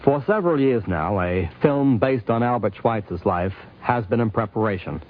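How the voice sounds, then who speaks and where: A man's voice: lowish voice, animated, moderate pace, a little hiss or hum. Formal male announcer, tinny old radio. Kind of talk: scripted 1950s radio narration. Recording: tinny, narrow-band old radio recording.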